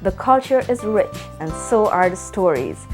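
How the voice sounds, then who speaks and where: A woman reading scripted feature narration in a measured way, rising on her questions. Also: mid-range voice, sing-song, a little mellow. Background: music bed.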